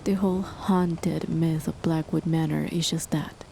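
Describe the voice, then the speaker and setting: Woman as a cold, condescending chemist spouse for an ASMR horror roleplay; slow, low, fry-edged whispers over steady rain. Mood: menacing. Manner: soft, measured, condescending, often whispered. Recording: noisy with rain behind.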